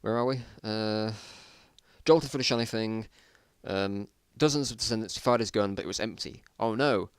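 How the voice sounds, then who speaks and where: A man's voice: on the low side, normal speed, clear, resonant tone, no room sound. Self-mocking man reading a story, dry recording. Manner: self-mocking, exasperated at the writing. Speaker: reader of own story. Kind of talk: dramatic reading with joking asides.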